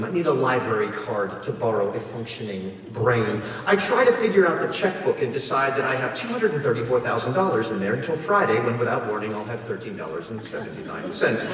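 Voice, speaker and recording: A man performing a spoken-word poem at a live reading, emphatic and dramatic with wry, deadpan comic touches. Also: mid-range voice. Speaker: poet reading own work at a live event. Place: performing arts hall in front of an audience. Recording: echoey hall, distant mic, noisy room ambience.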